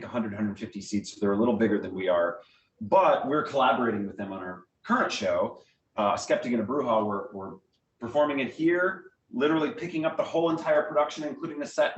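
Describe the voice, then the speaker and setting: A man speaking as a guest theater director, explaining things informally and answering audience questions in a conversational, filler-dotted way. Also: mid-range voice. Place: meeting room with an audience, also on Zoom. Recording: echoey room, distant microphone.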